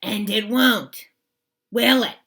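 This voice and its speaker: A woman's voice: a little high, slow, fairly bright tone, voice pushed up. A woman voicing a scratchy, menacing strict teacher. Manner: stern, commanding.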